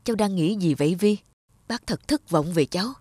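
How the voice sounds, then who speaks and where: Cartoon drama doctor: middle-aged, grave, disapproving, counselling with concern. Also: male voice, high-pitched, a little fast, darkish tone, no echo. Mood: disappointed.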